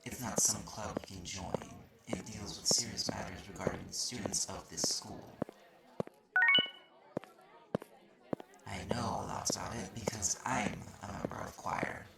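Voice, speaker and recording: Man acting a haughty, gravelly male student guide. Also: on the low side, fairly even intonation, normal speed, hardly any pauses, reverberant, in a whisper. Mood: condescending, curt. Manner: condescending, curt, explanatory. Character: haughty, mildly mean male magic-university student guide. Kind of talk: audio roleplay acting addressed to the listener. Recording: noisy recording with clicks.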